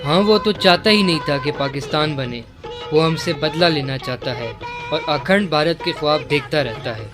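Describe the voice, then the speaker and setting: A man's voice: on the high side, moderate pace, music in the background, close to an everyday voice. A man playing an earnest schoolmaster who explains things to his neighbours in a radio drama. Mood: grave, informing.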